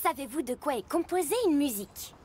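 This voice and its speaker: A woman's voice: sits high, lively intonation, brisk, darkish tone, a little hiss or hum. An actor, a woman, playing a cheerful, patient teacher in a children's cartoon, encouraging and instructive. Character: cheerful, patient female teacher guiding young trucks.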